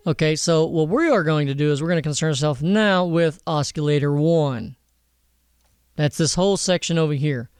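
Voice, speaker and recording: A man hosting a casual software tutorial in an informal, slangy way, swearing now and then. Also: a little high, normal speed, clear, resonant tone, no room sound, studio quality. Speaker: tutorial host.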